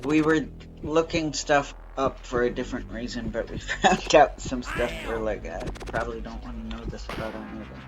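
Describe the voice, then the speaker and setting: A man's voice: medium pitch, normal speed, rough recording. Nasal, stuffy-voiced man chatting. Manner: rambling, apologetic, chatty with fillers. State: stuffy nose from allergies; chest bothered from bonfire smoke. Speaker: let's play host. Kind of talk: casual chat while gaming. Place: home basement. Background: game music.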